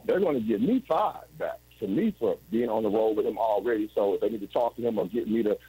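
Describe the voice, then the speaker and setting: A man's voice: lowish voice, animated, fast talker, warm tone, husky, rough recording. A man in his forties telling his story as an interview guest over a video call, rambling and restarting often. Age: 40s. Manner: rambling, with frequent restarts and "you know what I'm saying".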